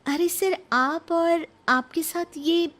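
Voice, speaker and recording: A woman's voice: very high-pitched, takes it slowly, fairly bright tone, pitched above the performer's own voice, full character voice. A woman voicing a devoted young widowed daughter-in-law in an earnest, pleading way for a moral story. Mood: upset, protesting. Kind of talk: animated moral-story acting.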